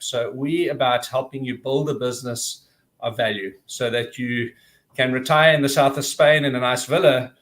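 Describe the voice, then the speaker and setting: A man's voice: medium pitch, a bit bright. A man in his forties with a South African accent, presenting in a friendly, chatty way on a video call webinar. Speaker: guest presenter.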